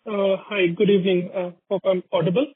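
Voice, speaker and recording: A man's voice: high-pitched, flat delivery, brisk, husky, no echo. A man with an Indian accent, an analyst asking detailed, unscripted questions on a business call. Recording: dry, phone-like conference line.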